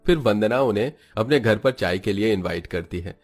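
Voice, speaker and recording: A male storyteller with a slightly low voice. He delivers scripted narration for a cartoon story. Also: expressive, moderate pace, faint music behind.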